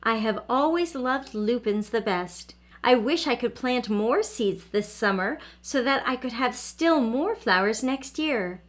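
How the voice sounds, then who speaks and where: A woman voicing a gentle, determined elderly lady from a children's storybook, in an expressive, earnest way. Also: a little high, unhurried, hardly any pauses. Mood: content, wistful. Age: older.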